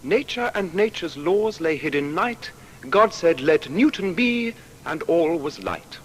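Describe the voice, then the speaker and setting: High-voiced declaiming poet. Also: male voice, big pitch swings, a little slow, fairly bright tone, slight background noise. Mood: exalted. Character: Alexander Pope, grandly declaiming 18th-century poet. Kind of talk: dramatic verse recitation.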